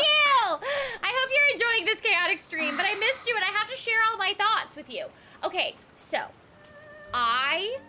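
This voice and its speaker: Bubbly, high-voiced woman from Texas. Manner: bubbly, giggly, animated. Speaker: voice actor streaming a game. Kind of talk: casual chat with a live stream audience.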